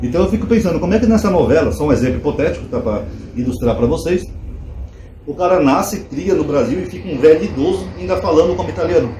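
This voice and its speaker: A fast-talking man, speaking casually to his audience with a mellow, somewhat high voice. He fills his explanations with rhetorical questions. The room echoes, with a faint hum behind him. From Brazil. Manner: conversational, animated asides with rhetorical questions. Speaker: channel host. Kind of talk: casual commentary to viewers.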